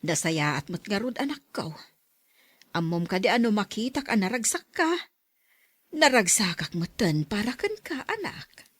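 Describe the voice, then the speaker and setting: Woman acting a tender mother with expressive pitch. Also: moderate pace, breathy edge, dry recording, studio quality. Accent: Ilocano Filipino. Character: tender, concerned middle-aged mother. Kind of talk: Ilocano audio drama acting.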